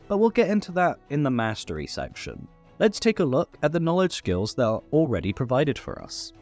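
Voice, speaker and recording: Male tutorial host: scripted, instructional read with playful asides, animated intonation, light music bed. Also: mid-range voice. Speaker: host of a tabletop game tutorial. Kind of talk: scripted explainer narration.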